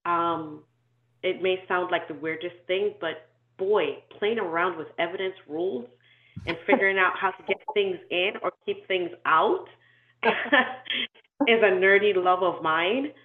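A woman who grew up in Nigeria, talking through her life story in an interview, thoughtful and measured, with frequent ums and restarts. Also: fairly low pitch. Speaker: inclusion and diversity consultant, guest. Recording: tinny echoey phone-like call audio.

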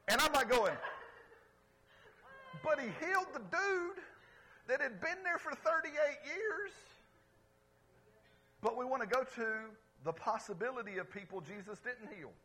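A pastor preaching to his congregation in a lively, conversational way, calling out for responses and swinging between loud exclamations and quiet asides. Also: sits high, slightly rough, rough recording. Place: church service before a congregation.

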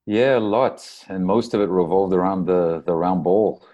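Middle-aged male, South African accent, very deep and soft-edged tone, casual reflective interview guest. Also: a little slow, muffled recording. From Durban, South Africa. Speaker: interview guest, soccer coach.

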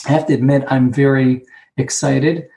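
A man with a Hebrew accent, Hebrew being his first language, sharing about recovery and sponsorship at an online conference, speaking haltingly and repeating words as he searches for them. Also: medium pitch, level tone, gravelly, dark tone. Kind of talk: personal share at an online conference. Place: sitting alone, remote.

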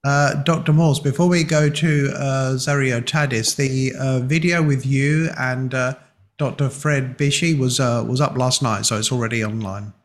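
A man introduces callers on a call-in show, halting and filling his pauses. He sounds set back from the microphone, and his pitch is middle-range. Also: steady tone. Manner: halting, filler-heavy introductions.

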